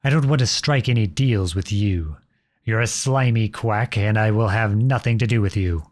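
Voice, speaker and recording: A man playing a protective, noble outlaw prince in an earnest, dramatic ASMR audio roleplay, with a soft, husky voice close to the mic. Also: lowish voice, moderate pace, dry recording, lightly acted. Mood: defiant, bitter. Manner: earnest, dramatic, addresses unseen listeners directly. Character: outlawed young prince, protective, noble, devoted to his beloved. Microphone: close studio-style microphone, dry.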